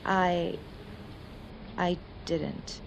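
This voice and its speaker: A woman's voice: fairly low pitch, steady tone, very slow, creaky, a little hiss or hum, exaggerated voice, low-quality recording. A woman acting as a skeptical, prim university academic in a radio play, with a firm, articulate, lecturing manner. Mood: reluctant, uneasy.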